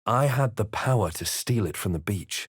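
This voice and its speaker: Solemn fantasy hero, lowish voice, theatrical narration, measured and brooding, very dry recording, video game. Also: male voice, expressive, slightly rough, dry recording. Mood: measured, grave. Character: young male fantasy adventurer, solemn, brooding, recounting his journey. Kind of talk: scripted dramatic narration and game character barks.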